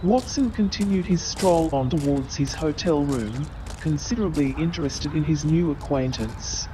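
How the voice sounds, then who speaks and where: A man's voice: a little high, normal speed. A man giving theatrical scripted narration for a comic stage play. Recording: noisy, with crowd sounds behind.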